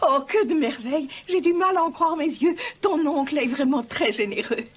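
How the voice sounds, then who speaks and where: A woman playing a prudent mother in an animated film, earnest and gently admonishing. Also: high voice, normal speed, slight background noise, close to an everyday voice. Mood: amazed, grateful. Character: prudent, worried mother of a poor boy.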